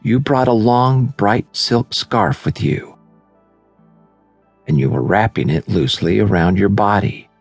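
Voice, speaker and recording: A man narrating a scripted guided meditation in a soothing, measured, unhurried manner. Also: on the low side, expressive, gravelly, slightly rough, no echo. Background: background music.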